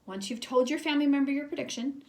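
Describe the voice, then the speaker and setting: A woman's voice: high voice, fast talker, slight background noise. A woman teacher reading a children's storybook aloud expressively, speaking directly to young listeners.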